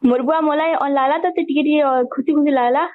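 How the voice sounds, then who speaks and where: A woman's voice: high voice, fairly even intonation, brisk. A young woman arguing accusingly in colloquial speech over a recorded phone call. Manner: accusatory, confrontational. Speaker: daughter in a family phone argument.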